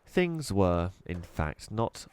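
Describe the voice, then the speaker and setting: A man narrating a children's storybook expressively, with deliberate, dramatic emphasis. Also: low voice, sing-song, darkish tone, clean tone. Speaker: storybook reader.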